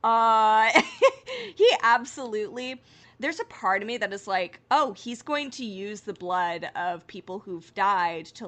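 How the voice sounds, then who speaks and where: A woman with a bright, forward voice co-hosts a casual fan chat show. Her delivery is playful and teasing, and her pitch moves around in a very lively way. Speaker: podcast co-host.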